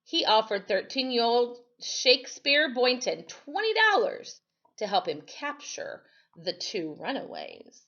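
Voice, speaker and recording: A woman reading a history story aloud as a storyteller, in an expressive, teacherly way. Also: on the high side, measured pace, muffled recording.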